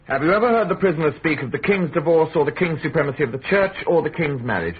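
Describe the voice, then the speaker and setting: An actor playing a menacing, officious minister of state in a radio drama, with a curt, forceful, articulate delivery. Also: male voice, a little high, lively intonation, fast, breathy edge. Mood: commanding. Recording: narrow, noisy radio recording.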